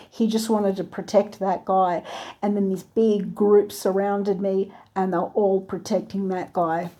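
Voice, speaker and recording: A woman earnestly recounting a dream, halting now and then to search for words. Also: medium pitch, mellow. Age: adult with a three-year-old daughter. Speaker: channel host recounting a dream. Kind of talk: personal dream testimony.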